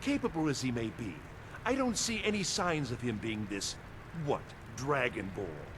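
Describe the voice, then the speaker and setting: An actor playing a haughty, fussy and skeptical steward in a fantasy video game, with a pompous manner. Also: male voice, medium pitch, very animated, normal speed, background noise, close to an everyday voice. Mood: disdainful.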